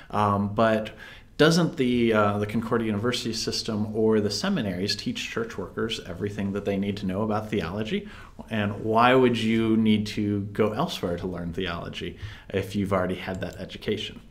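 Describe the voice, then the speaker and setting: A man's voice: on the low side, normal speed. A man in his thirties hosting a video interview, asking questions in a friendly, easy way, heard through an earbud headset on a video call. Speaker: interview host.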